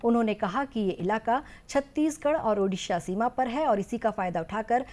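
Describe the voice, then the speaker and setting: A woman news presenter reading scripted bulletin items in a formal, measured way. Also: on the high side, a little mellow.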